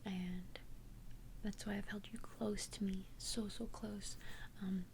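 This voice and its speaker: Casting: woman playing immortal young female vampire, tender and hesitant, unhurried whispered ASMR confession, slight hiss. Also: mid-range voice, flat delivery, a little mellow. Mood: earnest, nervous. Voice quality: breathy unvoiced whisper. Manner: hesitant, halting, earnest confession. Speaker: ASMR roleplay performer.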